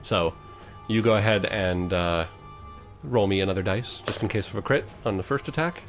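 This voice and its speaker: A man's voice: on the low side, normal speed, music in the background. A man narrating a tabletop role-playing game as game master.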